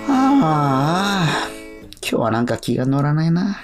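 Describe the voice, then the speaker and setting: A man's voice: a little high, sing-song, slow pace. An actor playing a cynical young male street musician, narrating a radio drama in blunt, slangy asides. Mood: puzzled, wry. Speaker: narrator and lead character. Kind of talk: first-person radio drama monologue. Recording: acoustic guitar music behind.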